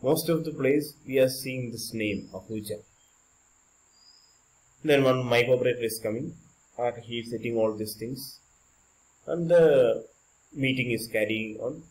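A man's voice: mid-range voice, measured pace, long pauses, darkish tone, poor audio. A man with an Indian accent giving an informal explanatory lecture as an electronics teacher, repeating and rephrasing points in simple non-native English. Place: indoors, screen-recorded voice-over.

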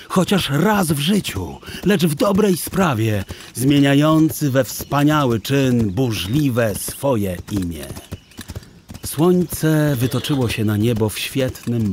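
A man narrating a children's story in a theatrical, deliberate, expressive literary style. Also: mid-range voice, slight background noise. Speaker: audiobook narrator.

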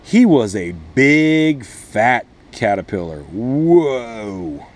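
A man in his thirties with a mid-pitched voice talks like a schoolteacher to children and their parents. He explains things step by step in plain, simple language, recorded on a camera close by in a small greenhouse. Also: very animated, very slow, slight background noise. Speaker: schoolteacher host. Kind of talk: read-aloud and informal lesson addressed to children and parents. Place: inside a small plastic-sheeted wooden greenhouse.

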